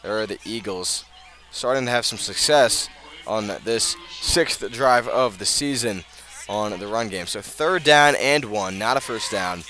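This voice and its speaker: A man doing live radio play-by-play of high school football, often halting and correcting himself as he goes. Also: bright, forceful tone, soft background music. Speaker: play-by-play sports announcer.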